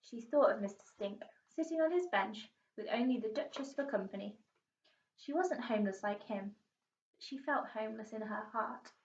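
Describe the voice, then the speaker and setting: A woman's voice: on the high side, moderate pace. A British woman, a primary school teacher, reading a storybook aloud expressively. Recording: muffled, echoey room, distant mic.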